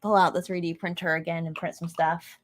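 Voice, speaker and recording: A woman's voice: fairly low pitch, little pitch movement, fairly quick. Playful, teasing woman co-host. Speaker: livestream co-host. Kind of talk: casual livestream chat, greeting viewers.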